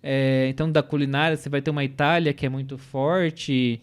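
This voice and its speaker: A man's voice: mid-range voice, steady tone, brisk, clear, resonant tone. A young adult man with a Brazilian accent, giving strong opinions in a casual panel chat, tagging sentences with "né?". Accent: Brazilian Portuguese. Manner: opinionated, emphatic, many "né?" and "entendeu?" tags. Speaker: podcast panelist.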